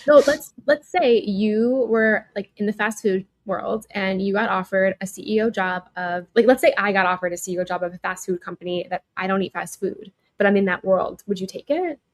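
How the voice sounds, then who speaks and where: A woman's voice: medium pitch, fairly even intonation, fairly quick, hardly any pauses. A woman co-hosting a podcast in casual chat, reacting bluntly and informally and posing hypothetical questions. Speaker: podcast co-host.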